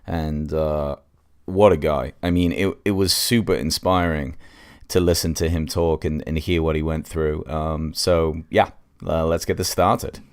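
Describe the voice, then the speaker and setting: A man's voice: a bass voice, steady tone, a little slow, clear, resonant tone. A man hosting a casual solo podcast review, congested with a cold and speaking in an informal, hesitant way.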